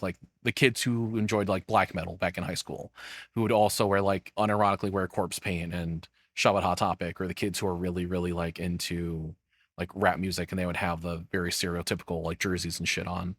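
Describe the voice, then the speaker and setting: A man's voice: sits low, a bit bright, dry recording. Rambling, sweary man streamer, dry sound. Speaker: reaction streamer. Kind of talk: casual off-the-cuff commentary.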